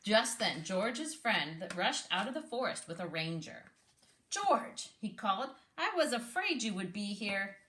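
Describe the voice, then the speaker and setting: Bright-voiced woman reading to children. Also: medium pitch, measured pace, a little hiss or hum. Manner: animated, prompting, encouraging. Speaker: read-aloud host, speech therapist. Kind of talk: children's picture-book read-aloud with questions.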